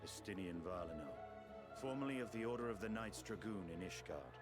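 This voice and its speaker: An actor playing a taciturn, dry-humored dragoon turned mercenary in a fantasy game, terse and sardonic. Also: male voice, fairly low pitch, expressive, fairly quick, natural voice. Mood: curt. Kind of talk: scripted fantasy game dialogue. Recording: orchestral music behind the voice. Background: game music.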